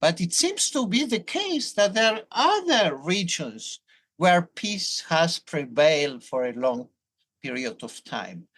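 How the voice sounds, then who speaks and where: Brief: older male academic with a Hebrew accent, thoughtful and explanatory, lively intonation. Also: a little high, slow, slightly rough. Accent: Hebrew-accented English. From Argentina. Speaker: professor, guest. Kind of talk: academic interview.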